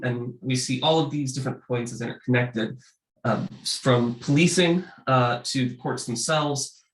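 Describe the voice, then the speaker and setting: A man's voice: medium pitch, fairly bright tone. A man with a cold, presenting slides for an advocacy group, sniffly and hesitant with many ums. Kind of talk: panel presentation over slides. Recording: echoey room sound.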